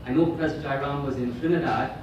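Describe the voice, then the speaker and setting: A middle-aged man from Guyana giving a conference lecture, halting with many ums and restarts. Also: mid-range voice, muffled recording, noisy background. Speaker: guest lecturer. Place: hotel conference hall. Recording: echoey hall, mic at a distance.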